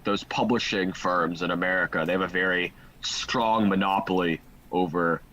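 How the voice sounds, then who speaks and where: A man talks as a guest over a video call. His voice is low. He thinks aloud and stammers, repeating words before he finds his point. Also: steady tone, normal speed, pushed and bright, a little hiss or hum, rough recording. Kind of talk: casual video call conversation.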